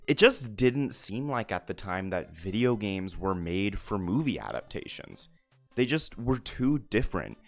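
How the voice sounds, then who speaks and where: Male video essayist, lowish voice, lively swings in pitch, conversational explainer over music. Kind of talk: narrated video essay, semi-scripted commentary.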